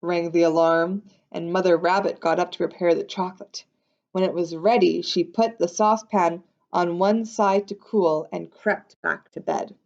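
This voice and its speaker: A woman reading a picture book aloud to young listeners in an expressive, unhurried way, adding simple explanations in casual asides. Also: on the low side, muffled recording.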